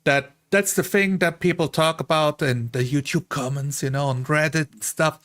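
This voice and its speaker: A man with a slightly high-pitched voice talks casually among fellow tabletop players. He makes his points conversationally, tossing in short hypothetical quotes as he goes. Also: normal speed, a bit bright. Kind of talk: casual animated group discussion.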